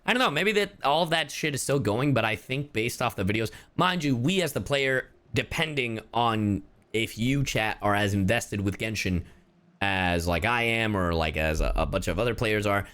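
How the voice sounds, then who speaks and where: Male streamer, lower register, loose chatty game commentary with casual swearing and asides to viewers. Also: moderate pace, fairly bright tone. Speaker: livestreamer, gamer.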